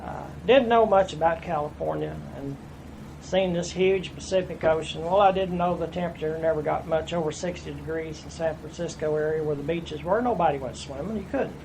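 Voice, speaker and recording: A man's voice: fairly high pitch, fairly quick, creaky, darkish tone. A man in his fifties with an East Tennessee accent, telling his life story plainly in an oral history interview. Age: 50s. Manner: plainspoken, folksy storytelling with restarts. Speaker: interviewee, veteran. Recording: noisy room recording.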